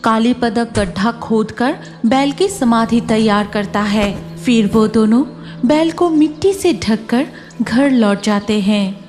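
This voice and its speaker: Lady narrating a scary story, kinda high voice, slow, music going behind her. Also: a little mellow. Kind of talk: scripted horror story narration.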